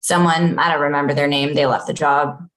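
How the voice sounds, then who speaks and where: A woman reading the part of an aloof, blunt managing editor in a deadpan, conversational comedy table read. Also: low voice, a little fast. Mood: guarded. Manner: deadpan, unimpressed.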